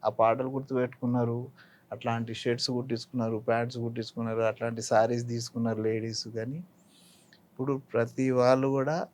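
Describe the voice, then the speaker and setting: A man's voice: medium pitch, flat delivery, fast, darkish tone. A middle-aged or older man with a Telangana Telugu accent, talking as an interviewed actor, reflecting and giving advice through examples. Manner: didactic, illustrating points with examples.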